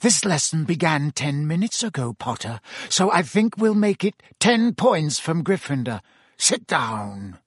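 A man's voice: on the high side, sing-song, a little slow, slightly rough, dry recording, studio quality, voice pushed up, exaggerated voice. A man voicing a cold, sneering male schoolmaster with a clipped, curt delivery.

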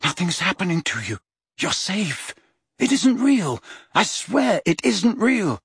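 A man's voice: high voice, sing-song, slow pace, vocal fry, fairly bright tone, breathy edge, no echo, studio quality, voice pushed up, heavily acted. A man doing a desperate teenage boy wizard. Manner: pleading, reassuring, shaky.

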